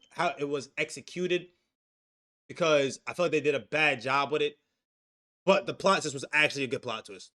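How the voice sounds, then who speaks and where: Young man, bright voice, fairly high, casual streamer talk, swearing, frequent restarts. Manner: casual, profane, reads chat aloud, restarts often. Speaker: stream co-host. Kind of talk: casual anime discussion stream.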